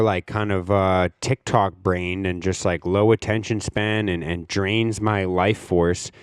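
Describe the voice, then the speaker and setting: A man's voice: on the low side, a little mellow, clean tone, no room sound, studio quality. A young man hosting a solo podcast, talking in a rambling, reflective way with lots of fillers and casual swearing. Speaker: podcast host.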